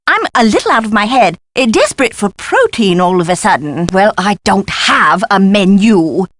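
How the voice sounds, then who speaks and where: An actress playing an eccentric, excitable, absent-minded woman professor in a video game, with a flighty, pompous and exclamatory delivery. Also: medium pitch, normal speed, dry recording. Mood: giddy, scattered. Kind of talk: theatrical video game voice acting.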